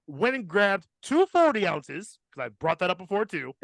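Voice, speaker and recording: A man with a high-pitched voice tells a casual story as a podcast host. He swears freely and emphatically, with a comic storyteller's delivery. The sound is dry and close. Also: big pitch swings, moderate pace, gravelly, fairly bright tone, dry recording.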